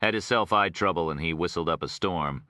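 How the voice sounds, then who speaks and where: A man's voice: sits low, fairly quick, bright, forceful tone, no room sound, clean studio sound. A man voicing a retired Texan prison officer in an audiobook, an older man with a folksy, rambling drawl. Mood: folksy, helpful. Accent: Texas.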